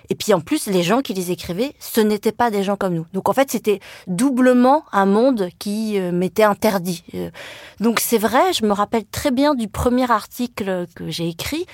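A woman's voice: medium pitch, darkish tone, no room sound, studio quality. A woman from France whose first language is Vietnamese, speaking as a journalist in a reflective interview, thoughtful and hesitant with frequent fillers and restarts. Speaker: journalist and writer.

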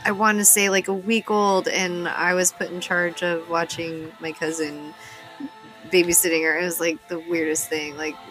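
Bright-voiced American woman, blunt and casual. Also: lowish voice, little pitch movement, normal speed, soft background music, low-quality recording. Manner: blunt, sweary, joking. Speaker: podcast host. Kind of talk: casual chat.

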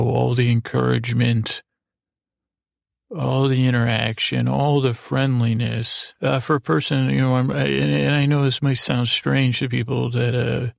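A man hosting a bedtime podcast in a meandering, halting monologue, repeating himself and pausing as he goes. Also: medium pitch, creaky, no echo, studio quality. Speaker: podcast host. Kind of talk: rambling bedtime podcast monologue, meant to lull.

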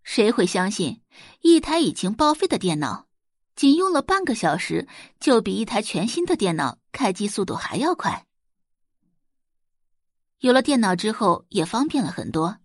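Woman audiobook narrator for serial fiction; dramatised delivery, lively pitch movement, dry studio-like sound. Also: normal speed, no echo. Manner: expressive, dramatised storytelling. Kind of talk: scripted audiobook narration of a web novel.

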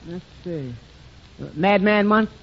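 An actor playing a wry, doubtful, reluctant tavern waiter, hesitant and drawn-out, in 1940s radio comedy style. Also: male voice, high-pitched. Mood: wryly obliging. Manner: hesitant, drawn-out, wryly skeptical delivery. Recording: muffled 1940s radio recording, faint noise.

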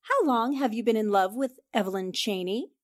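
Sharp, inquisitive lady reporter, played by a woman, high-pitched, dry studio, 1940s radio drama style. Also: normal speed, dry recording, close to an everyday voice. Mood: pointed. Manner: pointed, inquisitive.

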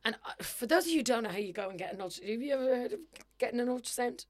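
Young woman podcast host, kinda high voice, rambly storytelling, swears a lot. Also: lively intonation, rapid-fire. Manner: chatty, storytelling, swears freely. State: voice sometimes gravelly. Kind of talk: casual chat.

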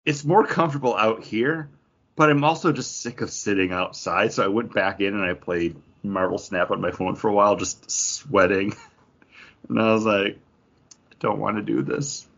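A man's voice: lowish voice, fairly even intonation, normal speed, fairly bright tone, lo-fi sound. A man co-hosting a comic book podcast in casual, conversational chat.

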